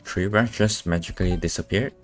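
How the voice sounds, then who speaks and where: A man's voice: deep voice, brisk. A man streaming a game, giving casual, muttered commentary as he plays. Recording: game music behind the voice.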